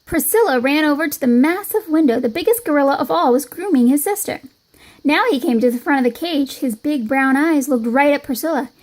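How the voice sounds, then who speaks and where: A woman reading a children's picture book aloud in an expressive storytelling style. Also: sits high, brisk. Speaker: storybook reader.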